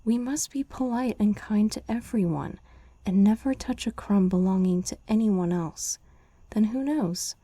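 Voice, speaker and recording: A woman's voice: medium pitch, measured pace, dark tone, slightly rough, no room sound, pitched above the performer's own voice. A woman doing a wise little girl. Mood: earnest. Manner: earnest, hopeful. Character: wise young girl, hopeful and polite. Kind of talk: ASMR storybook reading.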